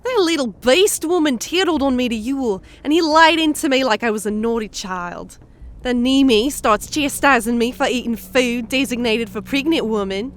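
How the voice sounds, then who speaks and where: A woman's voice: on the high side, moderate pace, close to an everyday voice. A woman playing a feisty, indignant fantasy woman who complains emphatically in an audio drama. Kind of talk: audio drama character acting.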